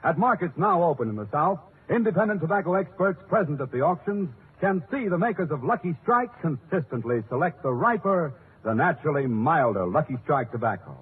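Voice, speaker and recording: A man's voice: fairly high pitch, big pitch swings, husky. Emphatic old-time radio ad man, tinny. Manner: emphatic, measured, declamatory. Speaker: radio commercial announcer. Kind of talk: 1940s radio advertising read. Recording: thin, distant old radio broadcast, faint hiss.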